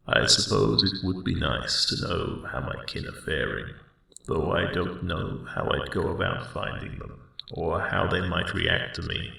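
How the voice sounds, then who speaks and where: A man voicing a friendly, elderly male dragon in an ASMR roleplay, rambling slowly and wryly in a deep, gravelly rumble. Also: heavily acted. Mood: wistful, reflective. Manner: slow, rambling, reflective, gently wry. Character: friendly ancient male dragon, mellowed guardian of a spring. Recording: roomy cave-like echo, distant sound.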